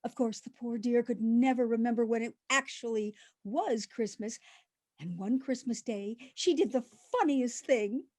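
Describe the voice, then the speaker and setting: An actress as a dithery old landlady in a radio comedy. Her voice is very high, and she rambles along kindly and earnestly, forever losing the thread. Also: normal speed, darkish tone, lightly acted. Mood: fond, reminiscing. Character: dithery, absent-minded elderly landlady, kindly and chatty.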